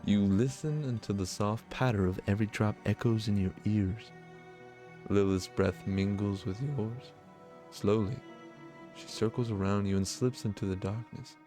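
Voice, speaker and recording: Low-voiced man playing a visual novel. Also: fairly even intonation, a little slow, mellow, soft background music. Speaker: gaming video host. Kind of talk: visual novel let's play, narration read aloud with casual asides.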